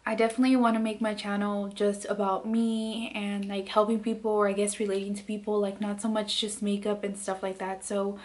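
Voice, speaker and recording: Soft-spoken woman, flat and hesitant. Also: mid-range voice, brisk, hardly any pauses. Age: late 20s. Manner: soft, hesitant, many fillers. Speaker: beauty vlogger. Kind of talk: candid personal vlog. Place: bedroom, indoors.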